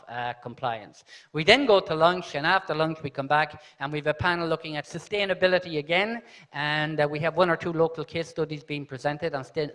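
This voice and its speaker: Guy hosting a conference, bright high voice, animated, keeps saying okay and fantastic. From Ireland. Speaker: conference host. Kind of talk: live conference speech with anecdotes. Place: large conference hall.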